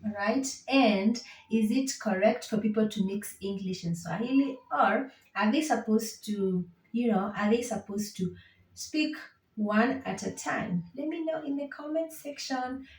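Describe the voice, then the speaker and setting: A woman's voice: a little high, measured pace, darkish tone, recorded from a distance. A woman from Kenya with a Kenyan accent teaching Swahili in an online lesson, patiently repeating each phrase. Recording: roomy echo, set back from the mic.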